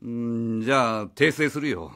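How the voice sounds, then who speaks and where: A man's voice: mid-range voice, lively intonation, measured pace, a bit bright. A man playing a flustered, evasive, cheeky father who drives a taxi, stammering and blustering in a radio drama. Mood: defensive, sarcastic. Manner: stammering, blustering, casual speech. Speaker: voice actor.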